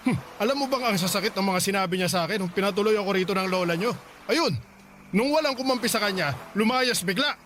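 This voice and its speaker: Freeloading dad, played by a man, middle-aged, Filipino accent, higher register, oily and boastful radio drama delivery. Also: quick pace, fairly bright tone, slight background noise. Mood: scornful. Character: freeloading, boastful older father, wheedling and self-serving.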